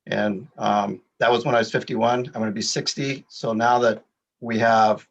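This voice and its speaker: A man's voice: mid-range voice, fairly even intonation, normal speed, dull audio. A man in his sixties, a triathlete, giving an upbeat testimonial and listing his race results. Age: 60s. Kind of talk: testimonial interview.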